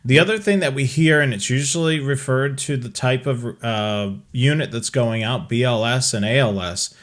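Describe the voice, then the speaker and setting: A man hosting a casual talk, explaining things in a halting, conversational way. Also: mid-range voice. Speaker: host.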